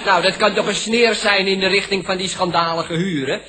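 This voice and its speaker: A stubborn, cheeky working-class Dutchman in a 1970s radio comedy. His voice is high for a man and bright. He talks in a colloquial, literal-minded way. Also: steady tone, normal speed, a little hiss or hum. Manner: colloquial, stubbornly matter-of-fact. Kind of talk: comic 1970s Dutch radio play acting.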